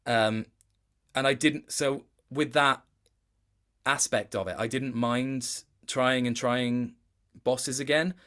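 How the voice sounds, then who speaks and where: A man's voice: medium pitch, fairly even intonation, takes it slowly, fairly bright tone. A man talking casually as an interview guest, thoughtful, hesitant and a little self-deprecating. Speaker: interview guest, video game voice actor. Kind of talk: casual chat.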